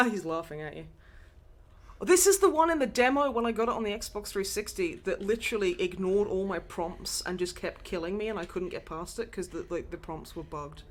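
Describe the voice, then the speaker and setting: Animated woman chatting on a livestream. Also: mid-range voice, moderate pace. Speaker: livestream co-host and voice actor. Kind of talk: casual livestream chat.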